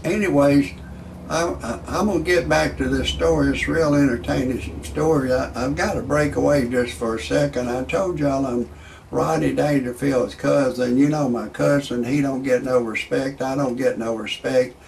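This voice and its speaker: A gravelly-voiced man spins a loose, rambling comic monologue. He stumbles over his words and restarts lines, and he chuckles along with his own jokes. His voice sits in an everyday middle pitch, with a faint hiss of noise behind it. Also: steady tone, normal speed, darkish tone, poor audio. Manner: rambling, repeats himself, stammering restarts. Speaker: amateur comedian commentator.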